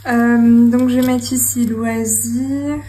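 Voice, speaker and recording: Young woman vlogging about her budget, slow and chatty, lots of "euh", little giggles, faint background noise. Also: a little high, steady tone, dark tone. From France. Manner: chatty, hesitant with many fillers, giggly. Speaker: budgeting channel host. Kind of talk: casual talk to camera while writing. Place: home room with her cat.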